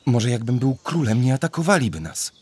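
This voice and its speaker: A man's voice: mid-range voice, very animated, brisk, darkish tone, slightly rough. A man acting a weary, devout medieval prince in a children's radio play, with solemn, declamatory delivery. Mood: troubled. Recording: reverberant hall, music behind.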